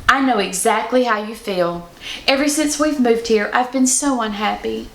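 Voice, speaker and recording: A loyal, eager little girl from a children's story, voiced by a woman. The voice is high, earnest and emphatic, in an audibly echoey room. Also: normal speed, creaky, a bit bright. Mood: sympathetic. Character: young girl, loyal, brave and eager to help. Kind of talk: children's storybook read-aloud.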